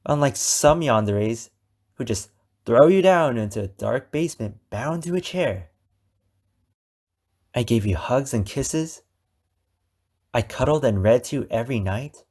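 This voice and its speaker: Man acting a slow, possessive, lovesick captor. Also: medium pitch, animated, a little mellow. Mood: anguished, indignant. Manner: slow, deliberate, dramatic pauses. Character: obsessive lovesick male captor, wounded, possessive, menacing. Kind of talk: ASMR roleplay monologue addressed to the listener.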